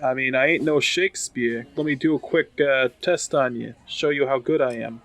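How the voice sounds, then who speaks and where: A man playing a tabletop role-playing game, chatting casually in a halting, self-deprecating, joking way. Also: medium pitch, a bit bright. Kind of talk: casual chat and roleplay.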